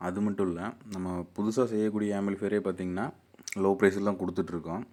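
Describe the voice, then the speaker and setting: A man's voice: low voice, little pitch movement, fast talker, darkish tone. A man with a Tamil Nadu accent giving an informal, plainly explained product walkthrough as an amplifier builder addressing his viewers. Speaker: amplifier builder and repairer presenting his video channel.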